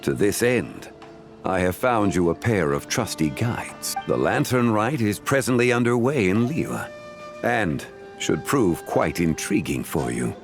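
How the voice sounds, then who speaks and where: A man playing a calm, courteous, dignified god of contracts in a video game, speaking in a measured, formal way. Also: lowish voice. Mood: calm, persuasive. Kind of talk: scripted video game voice acting. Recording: music under the voice.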